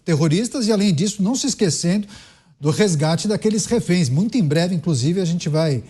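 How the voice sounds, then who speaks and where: A Brazilian man hosts a news debate show. His voice is soft-edged and mellow, sitting a little higher than most men's, as he moderates the discussion. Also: animated, brisk, breathy edge.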